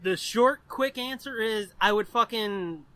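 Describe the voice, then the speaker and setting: High-voiced, bright young man. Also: measured pace, no room sound. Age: 20s. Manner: profane and rambling. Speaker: podcast co-host. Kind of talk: casual chat.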